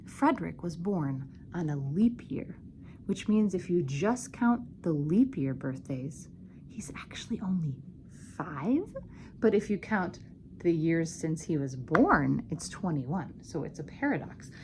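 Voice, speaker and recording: A woman's voice: low-pitched, expressive, a little slow, dark tone, slight background noise. A woman telling a puppet-show story for children in a playful, informal way with simple explanations.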